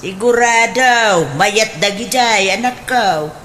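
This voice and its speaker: A woman playing a gentle, fretful elderly mother in a radio drama, with an Ilocano accent and measured, drawn-out speech. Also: mid-range voice. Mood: motherly, cautious. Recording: faint background noise.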